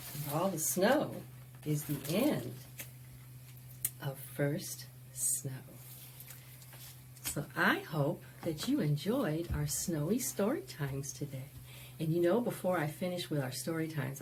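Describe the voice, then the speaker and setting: A woman's voice: deep voice, a little mellow, slight background noise, rough recording. A woman presenting a children's storytime, reading aloud expressively and chatting to young listeners with asides and questions. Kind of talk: read-aloud picture book storytime for preschoolers.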